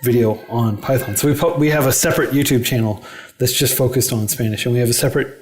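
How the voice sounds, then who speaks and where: A man's voice: medium pitch, quick pace. A man, a native English speaker, talking as the guest in a casual podcast interview. He explains at length and peppers his speech with "like" and "you know". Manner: chatty, explanatory, lots of "like" and "you know" fillers. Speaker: interview guest, head of a coding non-profit.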